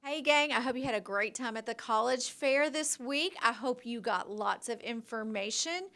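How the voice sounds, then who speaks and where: Woman, higher-pitched voice, school counselor, encouraging, gives direct advice, school news segment. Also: normal speed, a bit bright.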